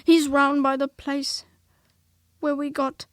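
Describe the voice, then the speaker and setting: A woman voicing a small, timid male piglet in a storybook reading, squeaky and nervous. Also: little pitch movement, slow, no echo, studio quality.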